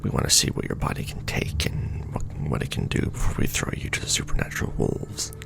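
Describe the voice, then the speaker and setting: A man playing a casually menacing secret-society recruiter in an ASMR roleplay, with a breathy, mostly whispered, gravelly voice and sardonic, conspiratorial patter, close on the microphone. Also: brisk, natural voice. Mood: businesslike, hushed. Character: casually menacing Illuminati recruiter onboarding a new initiate.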